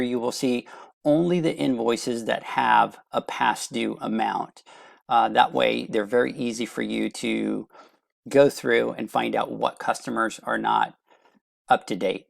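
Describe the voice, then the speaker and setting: A man giving a plain, step-by-step software walkthrough as the presenter of a product demo. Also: mid-range voice, steady tone, a little slow, gravelly, dry recording, studio quality. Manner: plain step-by-step instructional delivery. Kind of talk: screen-share product walkthrough, semi-scripted explanation.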